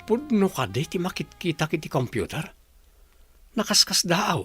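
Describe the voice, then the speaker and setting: A chummy young office worker in a radio play. His voice is high and bright, and he talks in a casual, friendly way. Soft music sits underneath. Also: big pitch swings, moderate pace, heavily acted. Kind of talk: radio drama acting.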